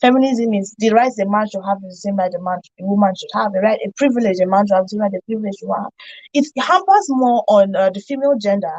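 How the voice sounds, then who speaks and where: A Nigerian woman talks quickly in a casual radio panel discussion. The studio sound is dry and slightly dull. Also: mid-range voice, a little mellow, dry recording.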